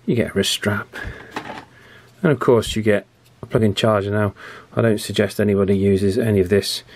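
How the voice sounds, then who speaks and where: A man's voice: on the low side, fairly even intonation, moderate pace, a little mellow. A man with a British accent giving a casual, unscripted product review, thinking aloud with chatty, drily understated asides. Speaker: YouTube radio reviewer.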